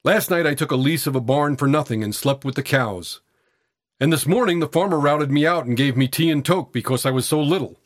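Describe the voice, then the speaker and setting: A man voicing a frail eighteen-year-old tramp boy, cocky, weary and defiant, with husky, breathless, colloquial working-class speech. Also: a little high, fairly quick, no room sound, studio quality, close to an everyday voice. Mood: knowing, world-weary.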